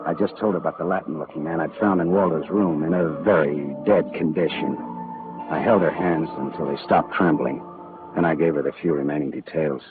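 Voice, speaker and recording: An actor playing a cool, sardonic American private detective with clipped delivery in 1940s radio style. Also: male voice, rumbling low, steady tone, a little fast, over music. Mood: cool, probing. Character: wisecracking, cool hard-boiled male private detective. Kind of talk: 1940s radio acting and first-person narration. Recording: muffled, noisy old radio recording.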